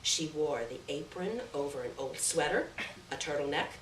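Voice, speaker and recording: Distant live recording, faint background noise, woman, expressive story reading, measured phrasing, deliberate pauses. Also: low voice, roomy sound. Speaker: reader at a live short story event.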